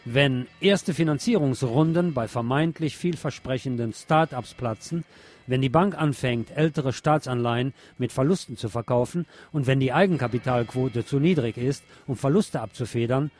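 A man's voice: mid-range voice, moderate pace, darkish tone. Man reading scripted commentary over music. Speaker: radio presenter reading commentary. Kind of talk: scripted political commentary, read aloud. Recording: music playing behind the voice.

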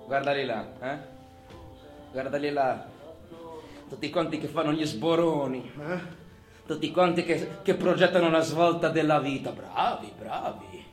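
A man's voice: on the high side, a little slow, echoey room, faint music behind, lightly acted. An actor playing a bitter, boastful working-class man in a colloquial, sardonic theatrical monologue. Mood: boastful, mocking. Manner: colloquial, sardonic, swinging from muttering to shouting.

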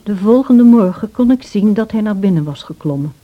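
A woman playing a sorrowful, gentle servant who narrates past events in a radio play in a measured way. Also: mid-range voice, lively intonation, close to an everyday voice. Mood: measured, sombre. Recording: dull old radio play recording.

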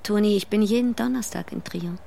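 A gravelly, seductive married woman. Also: mid-range voice, fairly quick, warm tone. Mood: longing. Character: seductive married woman, longing, bold and insistent. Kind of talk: German radio drama acting.

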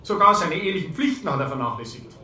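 A man's voice: a little high, big pitch swings, fast talker, bright, forceful tone, full character voice. An actor playing a bombastic village sports club man, making a pompous speech in a radio drama. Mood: solemn, loud. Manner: pompous, declamatory. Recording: echoey room.

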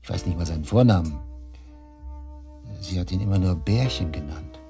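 Sneering, very deep-voiced murder suspect. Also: male voice, normal speed, over music. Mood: cynical, musing. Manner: taunting, cynical, coarse colloquial talk. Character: cocky, sneering male murder suspect, shady club worker. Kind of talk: German radio drama acting, interrogation dialogue.

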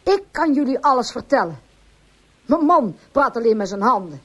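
A woman's voice: a little high, very animated, normal speed, slightly rough. An actress playing a distraught farmer's wife, telling her account earnestly and emotionally in a radio drama. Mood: earnest, explaining. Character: distraught, pleading farmer's wife confessing all.

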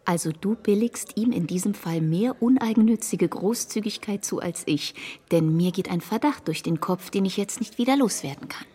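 An actress playing a motherly older friend who eagerly shares a hushed suspicion, in a radio play. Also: medium pitch, normal speed, few pauses, husky, natural voice. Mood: confiding.

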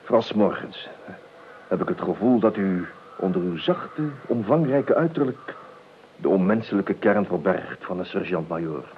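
Deep-voiced, hungover, melodramatic gentleman on old radio. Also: animated. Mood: lyrical, peevish. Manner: halting, fussy, theatrically weary. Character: grumbling, hungover, melodramatic late-sleeping gentleman, lyrical and self-pitying. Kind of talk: Dutch radio play acting. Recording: narrow, hissy old radio recording.

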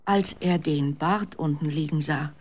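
A woman narrating a children's story in a dramatic, expressive scripted style. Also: sits low, unhurried.

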